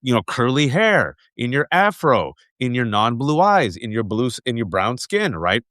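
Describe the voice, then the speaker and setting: Animated storytelling man hosting an interview. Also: mid-range voice, normal speed, no echo, clean studio sound. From United States. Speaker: host. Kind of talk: conversational interview.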